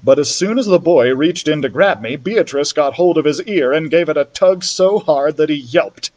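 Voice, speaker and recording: A man reads a children's story aloud in an expressive storyteller's style. His voice sits a little high and moves up and down in a very lively way. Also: normal speed. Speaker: library storyteller.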